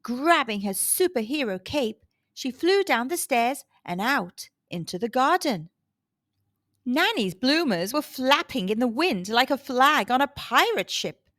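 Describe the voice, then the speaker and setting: High, animated woman telling children's stories. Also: no echo, studio quality. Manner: dramatic, deliberate emphasis. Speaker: children's storyteller. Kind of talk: scripted storybook narration for kids.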